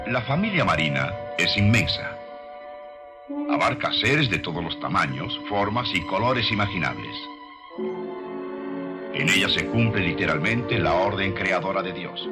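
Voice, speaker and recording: A man reading scripted religious documentary narration in a solemn, measured, declamatory manner. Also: deep voice, very animated. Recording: music behind the voice. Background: music.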